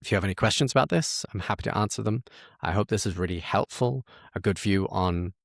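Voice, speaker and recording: Low, gravelly man thinking aloud. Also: fast, no echo, studio quality. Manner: informal, self-correcting, musing aloud. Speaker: host of software tutorial. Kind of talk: casual screen-share walkthrough, thinking aloud.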